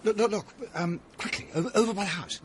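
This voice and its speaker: An actor playing an earnest, sporty young gentleman who is easily alarmed, in a period radio drama. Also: high-pitched, fast, slight background noise, close to an everyday voice. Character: young gentleman heir, sporty, nervous and excitable.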